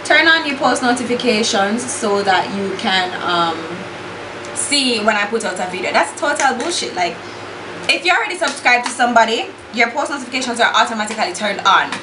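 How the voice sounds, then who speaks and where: Young Jamaican woman vlogger, blunt and exasperated, higher voice, echoey room with steady hiss. Casual makeup chat. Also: brisk. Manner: blunt and exasperated, swears freely, slips into patois. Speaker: beauty YouTuber.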